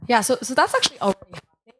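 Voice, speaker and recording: A woman's voice: a little high, big pitch swings, rapid-fire. A woman speaking on a conference panel, explaining ideas with many "right?" tags and "um" fillers, into a handheld microphone. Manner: says she has a loud voice. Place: conference stage.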